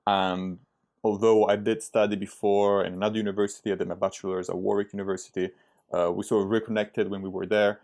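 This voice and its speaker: Young man, low-pitched, hesitant casual interview guest. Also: level tone, fast, vocal fry, darkish tone. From Italy. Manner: hesitant, many fillers and restarts. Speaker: startup founder, podcast guest.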